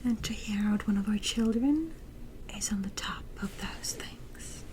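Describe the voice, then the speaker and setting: A woman's voice: mid-range voice, natural voice. Woman playing a whispering, tender medieval queen mother. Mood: tender, soothing. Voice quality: soft, breathy whisper. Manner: slow, tender, reassuring. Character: gentle, soothing queen mother comforting her young son. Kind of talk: whispered medieval ASMR roleplay.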